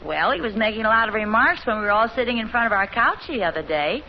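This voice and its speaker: A woman's voice: a little high, animated, fast. Pleasant suburban mother, American, easygoing, 1950s radio sitcom, dull recording with faint noise. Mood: gently teasing. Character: pleasant, chatty, gently teasing suburban mother.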